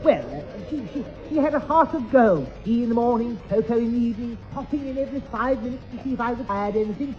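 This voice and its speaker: A man's voice: extremely high pitch, lively intonation, fast talker, hardly any pauses. A man playing a prim, genteel, bumbling English lodger who stammers over his words in a 1960s radio sitcom. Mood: earnest. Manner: fussy, stammering repetitions. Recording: dull 1960s radio recording with music.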